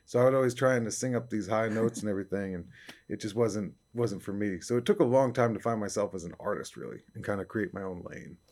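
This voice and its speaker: A young man from upstate New York talks in a raspy, fairly low baritone. He answers interview questions in a loose, easygoing way, with plenty of fillers and restarts. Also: fast, a little mellow. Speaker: country singer-songwriter guest. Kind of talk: casual interview talk.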